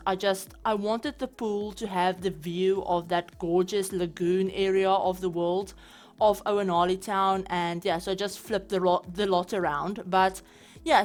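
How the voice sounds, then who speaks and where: A woman with a South African accent, chatting casually over her gameplay as a channel host, rambling with lots of fillers. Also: medium pitch. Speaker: game building channel host.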